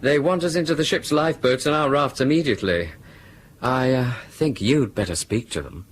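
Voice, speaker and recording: An actor playing a dutiful, anxious ship's radio officer in a radio drama. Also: male voice, medium pitch, moderate pace, lightly acted. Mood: uneasy. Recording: radio drama with faint background noise.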